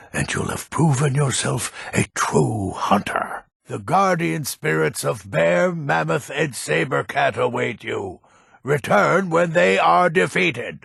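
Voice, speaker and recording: A man playing a gruff, grumpy but honourable old Nord hunter in a fantasy video game, with a gravelly, husky voice and a weighty, deliberate manner. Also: mid-range voice, dry recording, clean studio sound, heavily acted. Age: older.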